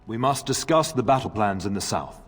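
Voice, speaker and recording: A man playing a middle-aged nobleman in a fantasy game, a firm and affectionate father who speaks with measured authority. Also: on the low side, expressive. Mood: firm, fatherly. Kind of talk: fantasy video game acting. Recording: reverberant stone hall, music underneath.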